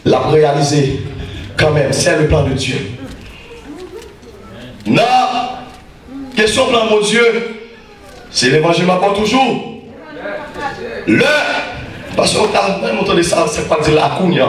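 A man, preaching a fiery sermon as an evangelist, shouting and chanting into a handheld mic while pacing a small church. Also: fairly high pitch, animated, slow pace, long pauses. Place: small church sanctuary, indoors. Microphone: handheld mic through PA, recorded from a far camera. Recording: very echoey church PA from far off, with music.

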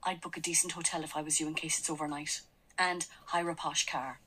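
An actress in a radio drama playing a practical, gently teasing woman who works alongside her partner. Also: deep voice, steady tone, brisk, slight background noise. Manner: teasing, matter-of-fact.

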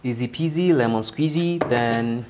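A man's voice: mid-range voice, moderate pace, background noise. A young Kenyan man working as a guest chef, demonstrating a dish in an indoor studio kitchen, easygoing and joking with frequent fillers, recorded on a lapel mic. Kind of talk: cooking demonstration chat.

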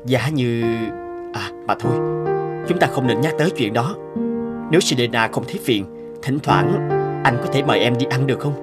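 An actor voicing a smooth, courteous male company director in a cartoon, speaking gently and suavely. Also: mid-range voice, normal speed, long pauses, natural voice. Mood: wistful, coaxing. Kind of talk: animated cartoon dialogue. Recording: loud piano music under the voice.

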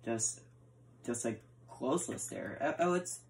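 A woman's voice: a bass voice, lively intonation, measured pace, creaky, darkish tone, slight background noise. A woman chatting casually while role-playing a block-building game, often stammering and repeating her words, recorded indoors by a camera aimed at the screen. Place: indoor room, filming a TV screen.

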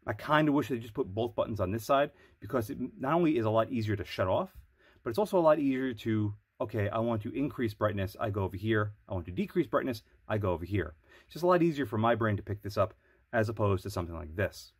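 Man, nasal with a cold, husky tone, dry close sound, measured reviewer delivery. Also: lowish voice, expressive, dry recording. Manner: measured, explanatory. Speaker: product reviewer. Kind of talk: product review voice-over.

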